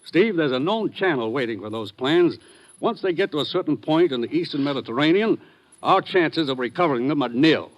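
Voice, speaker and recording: A man's voice: fairly high pitch, animated, a little fast, natural voice, rough recording. An American man playing a serious, authoritative commissioner in 1950s radio drama. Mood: grave. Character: authoritative, serious male commissioner briefing his agent.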